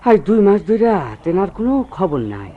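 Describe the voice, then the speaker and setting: A man's voice: sits high, very animated, fairly quick. A man acting a deferential, sympathetic rustic servant with a folksy regional Bengali dialect in a radio drama. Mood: sorrowful sympathy. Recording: dull, noisy lo-fi radio recording.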